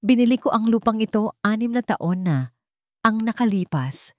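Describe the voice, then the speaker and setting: A woman voicing an earnest, remorseful young man in love, gentle and patient, in a radio-style romance story. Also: on the high side, expressive, normal speed, dry recording, clean studio sound, voice pushed up, lightly acted. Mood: earnest, tender.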